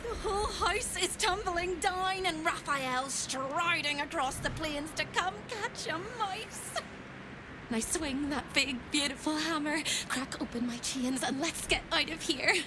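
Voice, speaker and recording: A woman's voice: high-pitched, unhurried, background noise. An actress playing a frightened, unhinged captive woman in a video game, chirpy and theatrical, with erratic delivery. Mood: urgent, frantic. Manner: theatrical, erratic, swinging from singsong to hushed. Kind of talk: video game character acting.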